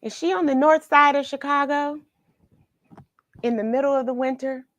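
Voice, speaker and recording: A woman telling a children's picture story in an expressive way, pausing for chatty questions to the young listener. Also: sits high, no room sound, highs cut off. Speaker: children's read-along storyteller and host. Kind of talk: animated picture-book read-aloud with questions to young listeners.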